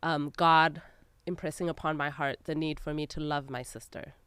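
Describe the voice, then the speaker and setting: Smooth, dry-sounding woman from Zimbabwe. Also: deep voice, little pitch movement, normal speed, a bit bright, no room sound. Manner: laughs often, lighthearted storytelling. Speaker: guest, magazine editor. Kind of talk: casual interview.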